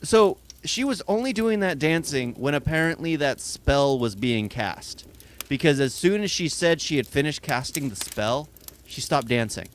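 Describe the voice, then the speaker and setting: A man's voice: a little high, animated, moderate pace, slight background noise. A young man co-hosting a podcast, casually and earnestly walking through movie scenes in detail. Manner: earnest, explains scenes at length. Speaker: podcast co-host.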